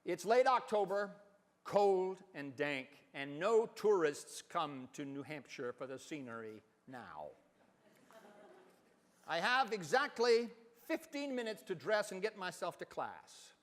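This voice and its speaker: A man giving an expressive literary reading on stage, with deliberate, dramatic phrasing and emphatic swells. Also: high-pitched. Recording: reverberant hall sound.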